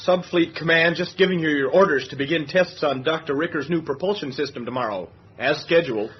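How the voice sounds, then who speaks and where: Nosy young male sailor, respectful but cheeky, slightly high husky voice, lo-fi radio play. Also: brisk. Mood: dutiful, cheeky. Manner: deferential, cheeky. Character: eager, nosy young male navy yeoman. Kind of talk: radio drama acting. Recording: dull, lo-fi radio drama sound.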